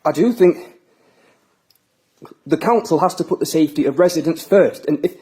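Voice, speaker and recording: A man's voice: fairly high pitch, normal speed, mellow, husky. An actor playing a hesitant, ailing male resident in an audio drama. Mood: reluctant, defensive. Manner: hesitant, reluctant. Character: uneasy, ailing male resident living alone. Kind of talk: naturalistic audio drama acting.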